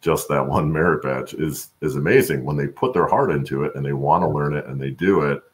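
A middle-aged man with a gravelly voice, talking haltingly and earnestly as a guest on a video-call interview. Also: rumbling low. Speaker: guest, supply chain expert and voice-over announcer. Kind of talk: conversational interview. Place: room at home, indoors.

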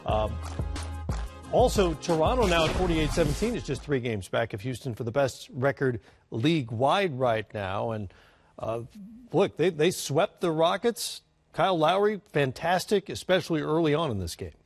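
A man hosting a studio sports show, brisk and wry as he recaps results. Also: on the high side, big pitch swings, slightly rough, soft background music. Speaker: studio host.

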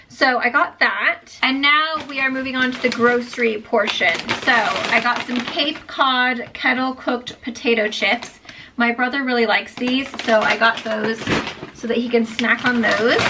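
A woman's voice: on the high side, noisy background. A woman in her thirties chatting casually through a haul in her home kitchen, upbeat and full of "like" and "um". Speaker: lifestyle vlogger.

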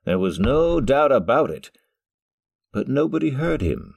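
A man's voice: medium pitch, sing-song, a little slow, creaky, darkish tone, breathy edge, no room sound, studio quality, exaggerated voice. A man narrating a storybook tale for an audio drama in a scripted, storytelling style.

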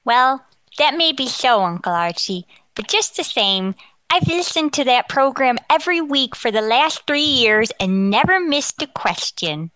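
A woman plays a brainy young boy in an old-time radio comedy. The voice is high and bright, with polite, very proper diction and lively swings in pitch. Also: unhurried, no echo, full character voice, low-quality recording. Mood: eager, curious. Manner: proper, articulate, bookish diction. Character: precocious, polite, bookish eleven-year-old boy.

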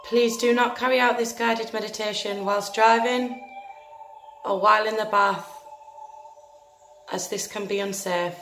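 A woman leading a guided meditation in a gentle, soothing, deliberate manner, leaving long pauses between phrases. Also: mid-range voice, steady tone. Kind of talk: guided meditation, scripted narration. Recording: roomy echo with faint music behind.

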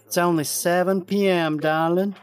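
A man's voice: sits high, fairly even intonation, normal speed, faint music behind, lightly acted. Man acting a sassy, flirtatious streetwalker in drag. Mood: flirty, sassy.

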